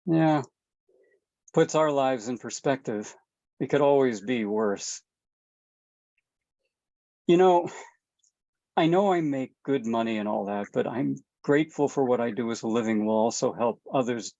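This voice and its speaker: A woman's voice: very deep voice, measured pace, long pauses, dark tone, dry recording. A woman reading the part of an easygoing middle-aged father with a dry, joking way of talking. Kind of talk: table read. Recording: dull, dry recording.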